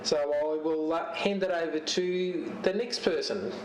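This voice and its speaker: A roomy, noisy recording picks up a man some distance from the mic. His voice sits a little high for a man. He talks casually and off the cuff, pausing to find his words like a hobbyist showing his gear. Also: fairly even intonation. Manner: halting, searching for words as he handles gear. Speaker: amateur radio and TV hobbyist. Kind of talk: casual unscripted show-and-tell of a home radio setup. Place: home radio shack.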